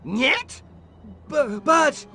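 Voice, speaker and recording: A man's voice: very high voice, lively intonation, very slow, gravelly, sharp tone, exaggerated voice. A man playing a hapless, earnest hippie revolutionary in a video game, whiny, faltering and melodramatic. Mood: bewildered. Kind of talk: video game cutscene acting.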